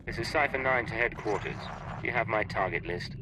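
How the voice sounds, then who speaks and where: A man's voice: on the low side, brisk, background noise, close to an everyday voice. A man playing a cool, probing secret agent in a video game, speaking in terse, controlled questions and statements. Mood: cool, formal.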